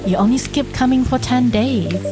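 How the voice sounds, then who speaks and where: A woman voicing a calm, knowing coffee shop barista in a visual novel, lightly teasing. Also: a little high, moderate pace, over music, lightly acted.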